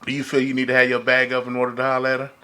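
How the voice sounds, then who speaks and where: Slangy man with a bright voice. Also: medium pitch, monotone, rapid-fire. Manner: colloquial, slangy. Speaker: podcast co-host. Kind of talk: casual panel chat.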